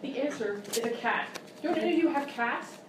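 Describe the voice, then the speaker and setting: A woman's voice: sits high, lively intonation, moderate pace. A woman playing an exasperated, condescending quiz show host in a live radio-style comedy sketch. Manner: incredulous, scolding, mock-polite. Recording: very echoey live room, far mic, noisy.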